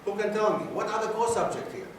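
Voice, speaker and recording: Male guest lecturer, fairly high voice, quizzes the crowd, recorded far off in a very reverberant hall. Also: fast talker, a little hiss or hum. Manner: questions the audience, repeats key points for emphasis. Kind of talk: university society lecture.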